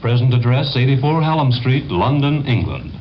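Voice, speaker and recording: A man from the American South working as a foreign correspondent, speaking at a middling pitch, brisk and matter-of-fact. The sound is dull and hissy, an old 1950 radio recording. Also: lively intonation. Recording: dull lo-fi 1950 radio broadcast with faint hiss.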